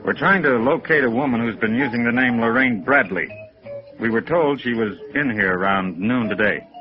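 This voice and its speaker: Vintage radio crime show: man plays official, businesslike deputy, mid-pitched, faint music behind. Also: moderate pace, dull audio, lightly acted. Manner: terse, official. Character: businesslike male police deputy. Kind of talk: 1950s radio drama acting.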